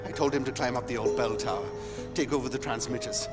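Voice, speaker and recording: An actor playing a commanding, brotherly but impatient rebel leader in a video game cutscene. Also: male voice, mid-range voice, fast, over loud music, natural voice. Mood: frustrated. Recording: game soundtrack and noise behind.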